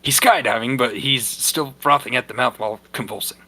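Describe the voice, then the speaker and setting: A man's voice: medium pitch, brisk, bright, forceful tone, low-quality recording. A man giving an amateur, dramatic comic reading of a story as narrator, stumbling now and then. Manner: stumbling, breaks into amused asides. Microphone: voice chat on a computer or headset mic.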